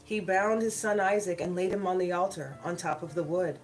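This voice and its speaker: A woman's voice: lowish voice, steady tone, brisk, poor audio. A woman storyteller hosting a read-aloud of children's Bible stories, with casual asides to her viewers. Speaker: storyteller and channel host. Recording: background music behind the voice. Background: soft worship music.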